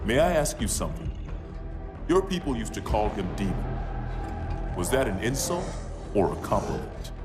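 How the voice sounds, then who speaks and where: Over loud music, a composed soldier speaks in a lowish voice. He is cool and dryly understated, a professional hunter in a dramatic game cinematic. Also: very animated, moderate pace, long pauses. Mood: candid, curious.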